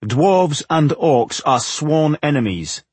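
A man's voice: medium pitch, very animated, normal speed, a little mellow, slightly rough, dry recording. A man voicing an earnest, firm and polite dwarf traveller in an audiobook, speaking formally and courteously. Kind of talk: audiobook character dialogue.

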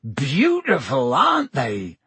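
A male narrator acts out a huge, good-hearted gamekeeper from a storybook. The voice is very gravel-edged and husky, rising high with excitement. The speech is rustic, colloquial and booming. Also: slow pace, no room sound, exaggerated voice. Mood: joyful, booming. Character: big, hearty, kindly gamekeeper teacher, rough-spoken and excitable.

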